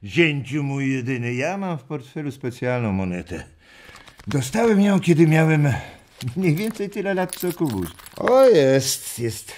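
A man's voice: a little high, sing-song, a little slow, darkish tone. An actor playing an affectionate elderly grandfather in a children's radio play, chuckling and hesitating theatrically. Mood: lively, excited. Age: 70s. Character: playful, affectionate old grandfather storyteller.

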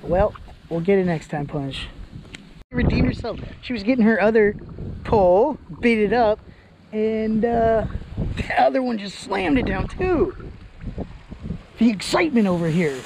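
A man's voice: high voice, lively intonation, a little slow, long pauses. A young man hosting a casual fishing vlog from a lakeshore, chatty and upbeat, laughing often, recorded on a body-worn camera. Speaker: fishing vlogger. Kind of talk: casual outdoor vlog narration. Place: outdoors on a lakeshore. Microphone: body-worn action camera. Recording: outdoor wind and ambient noise.

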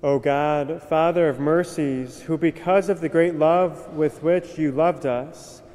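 A young man, a priest, reciting the prayers of Mass at the altar in a measured, solemn way. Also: a little high, fairly even intonation, darkish tone. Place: large cathedral, at the altar. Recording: long cathedral reverb.

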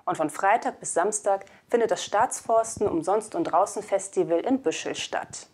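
A woman's voice: mid-range voice, normal speed, a little mellow, husky. Young woman presenting scripted news. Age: 20s. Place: indoor studio. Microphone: lapel mic.

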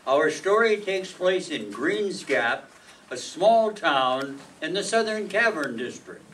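A man's voice: fairly high pitch, expressive, slow, fairly bright tone, slight background noise. A man announcing in the style of old-time radio. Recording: distant hall mic.

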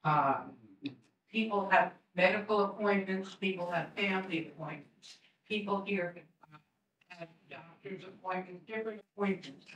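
An older woman reads her comment slowly and earnestly at a public meeting, pausing often between points. She sounds set back from the microphone, with the echo of a large room around her voice. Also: medium pitch, a bit bright, a little hiss or hum. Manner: earnest, measured, with many pauses. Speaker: tenant giving public comment. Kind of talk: reading prepared public comment. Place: community room at a board meeting, seated. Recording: roomy sound from a distant mic.

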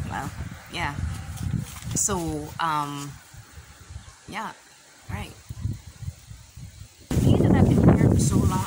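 A young woman with a Barbadian accent chatting casually to a phone camera outdoors, relaxed and rambling, with many pauses and fillers. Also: sits low. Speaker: vlogger. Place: outdoors in a rural yard. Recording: loud outdoor noise behind.

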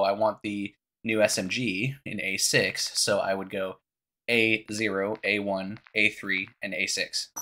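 A young man with a cough-roughened, scratchy voice, casually walking viewers through a game menu step by step into his headset at home. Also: on the low side, a bit bright. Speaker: gaming YouTuber. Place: small room at home.

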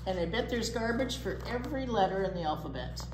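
A woman's voice: medium pitch, lively intonation, fast talker. An older woman chatting to children as a library storytime presenter. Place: indoor library room. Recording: roomy echo, faint room noise.

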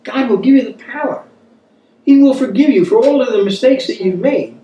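A man's voice: very high-pitched, brisk, mellow, highs cut off. A man in his fifties teaching a Bible study like a sermon, earnest and exhorting, repeating phrases for emphasis. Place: small room at a wooden table, indoors. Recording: echoey room, distant microphone.

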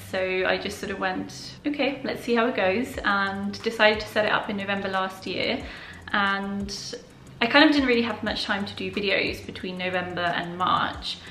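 Young woman, Polish native speaker, bright voice, casual chatty vlog Q&A, echoey living room. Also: mid-range voice, slight background noise. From Poland. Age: young adult. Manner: chatty and open, answering viewer questions. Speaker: personal finance YouTuber. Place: living room at home, indoors.

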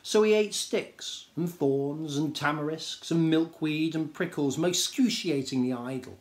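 A man, reading a children's story aloud in an expressive, measured way. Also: on the high side. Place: small indoor room with bookshelves.

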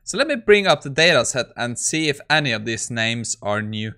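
Bright-voiced man, casual tutorial. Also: mid-range voice, sing-song, moderate pace, clean tone. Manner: loose, informal, thinking aloud. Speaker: host of coding tutorial channel. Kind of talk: casual screen-share tutorial commentary.

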